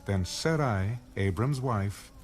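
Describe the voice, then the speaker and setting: A man reading scripture as a scripted audio narration, in a measured storytelling manner. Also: fairly low pitch, a little hiss or hum.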